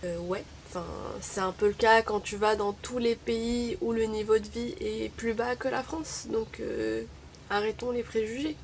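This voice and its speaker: Wry young woman with a gravelly edge. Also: a little high, steady tone, measured pace, slight background noise. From Morocco. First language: Arabic. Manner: wry, chatty, full of hesitations. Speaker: vlogger. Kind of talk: casual chat to camera.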